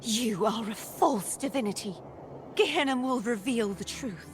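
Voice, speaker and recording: A woman playing a reserved, steadfast young maiden in a video game, speaking in an earnest, measured way. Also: a little high, lightly acted. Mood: resolute defiance. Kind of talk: scripted video game voice acting. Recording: music under the voice.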